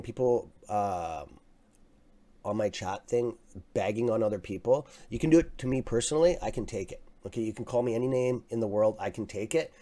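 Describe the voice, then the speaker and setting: A man with a raspy voice hosting a live stock market stream, talking casually and excitably to his viewers. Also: medium pitch. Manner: excitable, swears, talks to chat as "guys". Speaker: livestream stock trading host.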